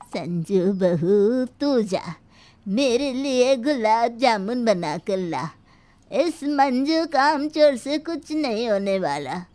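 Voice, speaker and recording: Woman playing a slow, scolding old grandmother. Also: a little high, animated, darkish tone, dry recording. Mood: exasperated. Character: sharp-tongued, scolding old grandmother-in-law. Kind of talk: scripted Hindi moral story acting.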